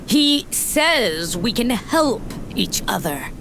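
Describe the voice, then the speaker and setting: Solemn shamaness, played by an actress for fantasy audio drama: highish, creaky, emphatic, full of conviction. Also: female voice, big pitch swings, slow, background noise, full character voice.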